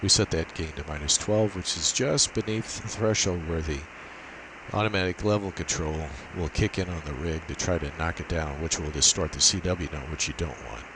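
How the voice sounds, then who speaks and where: Low-voiced man over steady hiss. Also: normal speed. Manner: methodical step-by-step explaining. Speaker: amateur radio demonstrator. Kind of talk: unscripted technical walkthrough. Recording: steady hiss and noise behind voice.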